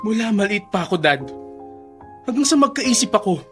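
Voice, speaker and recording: A woman's voice: mid-range voice, very animated, moderate pace, faint music behind, natural voice. A woman playing a resentful, defiant young son in a melodramatic radio drama. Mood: cold resentment.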